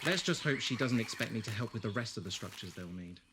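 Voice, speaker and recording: A man playing a gentle, war-scarred wanderer in an audio drama, with an earnest, hesitant delivery. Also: on the low side, lively intonation, fast, background noise. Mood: helpful. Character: gentle, awkward, war-scarred male wanderer with strange powers.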